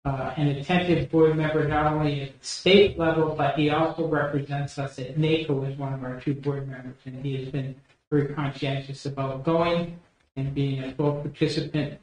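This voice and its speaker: A man speaks graciously at a county meeting, praising a board member and pausing on "uh" between phrases. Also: a little high, fairly even intonation, a little mellow. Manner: gracious, with halting "uh" fillers. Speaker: county official addressing the board. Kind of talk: formal meeting remarks. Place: county commissioners' meeting room. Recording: echoey room from a distance.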